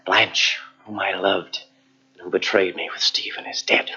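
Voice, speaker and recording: Creepy cold killer gentleman, deep husky voice, slow cruel radio drama delivery, muffled old tape. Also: little pitch movement, full character voice. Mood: cold menace. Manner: deliberate, cruel, self-satisfied. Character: cold, deranged gentleman murderer, quietly menacing. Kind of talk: old-time radio suspense drama acting.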